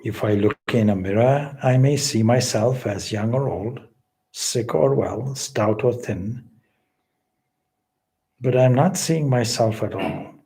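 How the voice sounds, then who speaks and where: Man, mid-pitched voice, very unhurried pace, spiritual meditation guide, solemn, measured phrasing, long pauses. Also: mellow, rough recording. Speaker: swami leading guided meditation.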